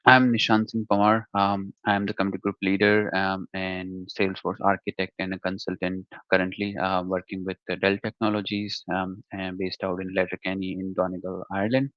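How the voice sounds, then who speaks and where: A man from India opens a virtual developer meetup in a low, even voice that stays flat in pitch. His speech is clean and smooth, recorded dry and a little muffled, as on a video call. He pauses often on fillers. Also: no room sound. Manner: hesitant, many fillers. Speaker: developer group leader and host. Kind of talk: webinar introduction.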